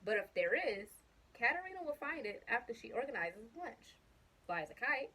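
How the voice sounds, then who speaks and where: A woman's voice: fairly high pitch, big pitch swings, normal speed, sharp tone, slight background noise. A woman reading a children's picture book aloud casually, chatting to young listeners with questions and asides. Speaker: storybook reader.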